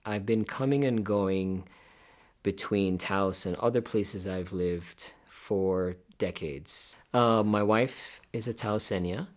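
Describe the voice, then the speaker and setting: Man, very low voice, measured, relaxed, interview. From Jamaica. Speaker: physical therapist. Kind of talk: documentary interview.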